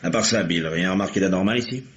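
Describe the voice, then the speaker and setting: An actor playing a steady, commanding police lieutenant with a measured delivery in an old-fashioned French radio mystery. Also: male voice, low-pitched, husky. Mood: brisk, commanding. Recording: dull, noisy old radio recording.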